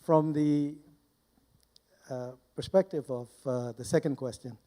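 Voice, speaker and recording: Older male guest speaker from Burma, soft-edged warm timbre, deliberate and halting, informal testimony. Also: mid-range voice, steady tone. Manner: halting, deliberate, with short broken phrases. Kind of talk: informal personal testimony to a live audience. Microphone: handheld microphone held close.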